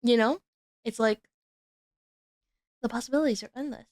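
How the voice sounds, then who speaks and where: A woman's voice: a little high, moderate pace, darkish tone, dry recording, studio quality. A young woman chatting casually on her stream, rambling and laughing at herself, with a stutter and many restarts. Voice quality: stutters, with frequent repeats and restarts. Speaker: VTuber streamer hosting a guest. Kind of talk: casual chat.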